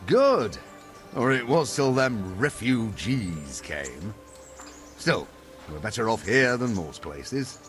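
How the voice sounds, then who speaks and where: Game casting: civil, grumbly medieval townsman, unhurried, with lively intonation. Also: fairly low pitch, soft background music, exaggerated voice. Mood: grumbling. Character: townsman, civil but grumbling about newcomers. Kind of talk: video game dialogue.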